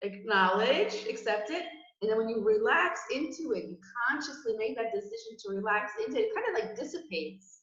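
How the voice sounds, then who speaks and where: A woman's voice: on the high side, sing-song, a little fast, fairly bright tone. A woman co-hosting a casual chat, giving earnest, reflective advice to clients and listeners. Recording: echoey, slightly muffled room.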